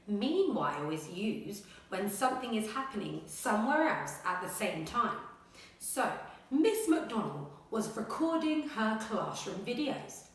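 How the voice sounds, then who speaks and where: A woman primary school teacher speaking to children and reading a picture book aloud in a dramatic, expressive way. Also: medium pitch. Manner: dramatic, expressive, with gasps and suspenseful pauses. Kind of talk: animated children's story reading and lesson. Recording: very echoey room, slightly distant mic.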